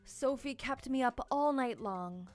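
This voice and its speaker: Storybook mom, voiced by a female reader: high-pitched, gentle, reassuring, affectionate toward her little girls. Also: measured pace, soft background music. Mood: weary. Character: gentle, tired, reassuring mother of two girls.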